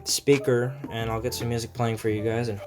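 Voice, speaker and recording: A man's voice: mid-range voice, steady tone, fairly quick, soft background music. A man giving a casual, informal hands-on product review, explaining features as he goes. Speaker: gadget reviewer.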